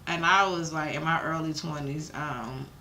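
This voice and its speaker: A woman's voice: low-pitched, steady tone, normal speed, very noisy. A young American woman talking candidly as an interview guest, informal and emphatic with slangy, salty language. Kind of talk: casual candid interview talk.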